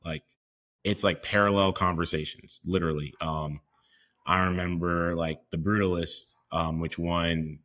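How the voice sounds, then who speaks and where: A man's voice: deep voice, flat delivery, measured pace, a bit bright. A man co-hosting a podcast, speaking in an earnest, reflective way with frequent hesitations. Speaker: podcast co-host. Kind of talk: casual conversational chat.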